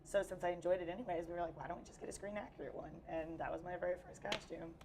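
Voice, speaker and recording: A woman's voice: fairly low pitch, fairly even intonation, very fast, background noise. A young woman with a Texan accent, chatting casually as an interview guest while losing her voice, upbeat and full of fillers. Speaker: cosplayer guest. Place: busy convention hall.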